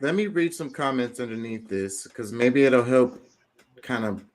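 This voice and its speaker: A man's voice: medium pitch, animated, unhurried. A man co-hosting a casual talk show, pressing a point and setting up comments to read. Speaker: podcast co-host. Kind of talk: casual discussion.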